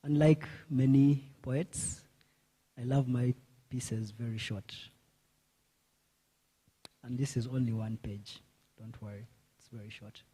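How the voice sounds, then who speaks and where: A man poet reading his short poem aloud at a conference, in a slow, measured recitation. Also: mid-range voice, steady tone, dark tone, slightly rough, poor audio. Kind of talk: poetry reading. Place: conference hall.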